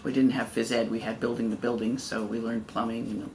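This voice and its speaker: A middle-aged man recalling his schooling in a gentle, meandering way. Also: mid-range voice, flat delivery, brisk, a little hiss or hum. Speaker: interviewee. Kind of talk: casual recollection.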